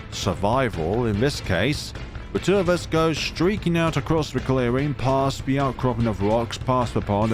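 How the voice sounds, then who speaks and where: A man reading a visual novel aloud as dramatic narration, expressive and reacting as he goes. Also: mid-range voice. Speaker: let's player reading a game aloud. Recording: music behind the voice. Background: game music.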